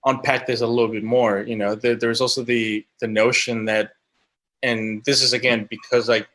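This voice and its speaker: A man's voice: mid-range voice, level tone, normal speed, a bit bright. A man from Colombia, a guest on an interview podcast, speaking in a casual, thoughtful way with many halts and restarts. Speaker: guest camera assistant.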